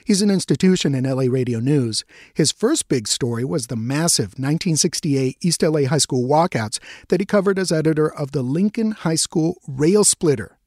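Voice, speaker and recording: A man's voice: on the high side, lively intonation, normal speed, darkish tone, no echo, studio quality. A middle-aged man from Mexico, a native Spanish speaker, narrating a radio feature in a wry, self-mocking way. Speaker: radio reporter.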